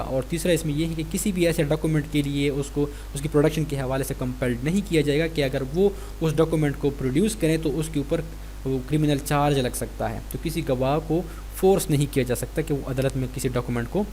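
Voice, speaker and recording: Higher-pitched Pakistani man lecturing over hum. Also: brisk, a little mellow. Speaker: law lecturer. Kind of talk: explanatory lecture, reading statutes aloud then explaining. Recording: clear background noise with hum.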